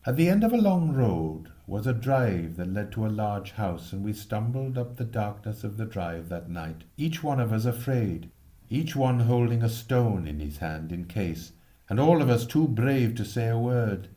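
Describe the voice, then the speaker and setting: A man reading a literary story expressively, with measured, lyrical phrasing. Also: on the low side, big pitch swings, dark tone. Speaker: reader.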